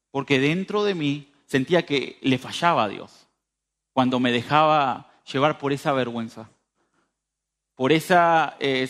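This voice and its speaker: Higher-voiced Uruguayan preacher with a northern border accent. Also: male voice, fairly bright tone. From northern Uruguay, near the Brazilian border. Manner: informal, addresses listeners directly, repeats phrases for emphasis. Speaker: preacher at a youth church meeting. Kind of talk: conversational sermon.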